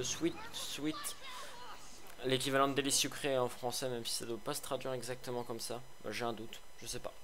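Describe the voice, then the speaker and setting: Male game reviewer, slightly hoarse, rambling and sarcastic, mid-pitched, light background noise. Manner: chatty, rambling, many fillers and restarts; sarcastic asides. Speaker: gaming video host. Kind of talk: casual game review commentary.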